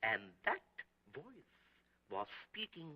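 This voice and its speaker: An actor playing a stubborn restaurant owner who tells his story slowly and insists on it. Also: on the high side, huge pitch swings, pushed and bright, husky, exaggerated voice. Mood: insistent. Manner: slow, insistent telling.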